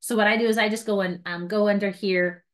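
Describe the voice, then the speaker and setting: A woman's voice: medium pitch, steady tone, fast talker. A woman trainer walking guides through a device step by step in an informal, chatty way, with light self-mocking asides. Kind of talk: informal hands-on device tutorial.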